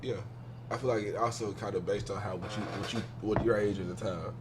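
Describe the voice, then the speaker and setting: A man's voice: fairly low pitch, steady tone, fast, noisy background. A man in his twenties hosting a casual podcast, talking emphatically and speaking straight to the listeners. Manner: emphatic, swears, addresses listeners. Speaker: podcast host.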